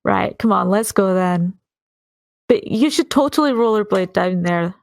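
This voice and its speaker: A woman's voice: mid-range voice, lively intonation, measured pace, vocal fry, darkish tone, no echo. A woman playing a tabletop game, casually chatting and describing what her character does.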